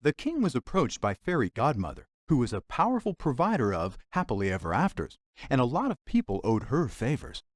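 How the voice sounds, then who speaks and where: A wry talking mirror tells a fairy tale in a video game. The delivery is theatrical and sardonic, with huge swings of pitch. The recording is close and dry. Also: male voice, huge pitch swings, brisk, dry recording. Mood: wry, gossipy. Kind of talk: playful storybook narration.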